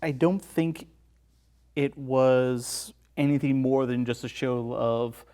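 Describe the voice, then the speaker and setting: A man's voice: medium pitch, flat delivery, takes it slowly, warm tone. A man as a podcast guest, casually discussing a film and explaining things in a conversational way.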